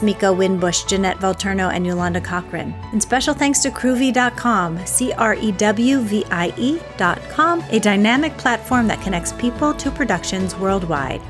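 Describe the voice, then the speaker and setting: A woman with a medium-pitched voice hosts a podcast in a conversational style. Music can be clearly heard with her voice. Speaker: podcast host. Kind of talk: casual interviewing and podcast narration.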